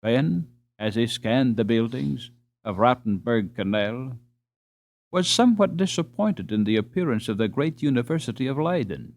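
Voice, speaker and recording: Expressive man reading a storybook. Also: medium pitch, normal speed. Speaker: audiobook narrator. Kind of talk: scripted storybook narration.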